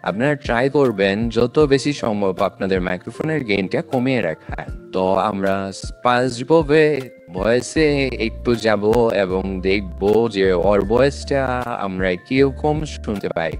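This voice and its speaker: A man's voice: lively intonation, moderate pace, soft background music. A man casually explaining a product through an AI voice changer. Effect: AI voice changer, deep superhero preset. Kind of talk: casual product demo narration.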